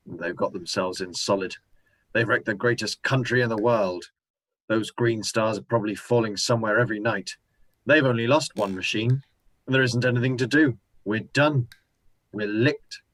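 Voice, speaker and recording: A man's voice: lowish voice, normal speed, a bit bright, no echo, poor audio. Guy doing a grim ex-soldier survivor, intense and preachy, dry close sound. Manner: brooding, intense, preaching his plan. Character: hardened male ex-soldier survivor, scheming and grim. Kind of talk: amateur radio drama acting. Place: home room, recorded remotely.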